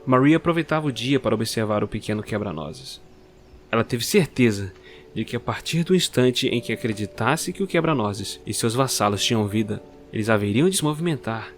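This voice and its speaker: Measured male storyteller over music. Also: mid-range voice, animated. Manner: measured, dramatic. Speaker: narrator and producer. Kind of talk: scripted storytelling narration.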